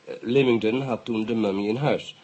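A man acting a courteous, guarded British police investigator in a radio play, speaking in a measured, matter-of-fact way with polite English phrases. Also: on the low side, a little mellow, husky. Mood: measured, informing. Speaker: police officer. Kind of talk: radio drama dialogue.

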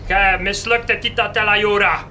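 A tyrannical Flemish editor-in-chief for a comic radio play. He is very high-pitched and piercingly bright, theatrical and mocking, barking colourful insults at his staff. There is faint noise behind him. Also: fairly even intonation, normal speed. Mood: sarcastic, scornful. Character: foul-mouthed, bullying male newspaper editor-in-chief, hot-tempered, mocking. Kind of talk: comic radio drama acting.

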